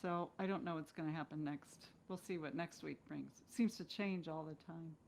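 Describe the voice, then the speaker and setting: A woman's voice: deep voice, normal speed, creaky, darkish tone, a little hiss or hum. A woman chatting casually as an interview guest, candid and given to questioning herself. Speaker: guest actress.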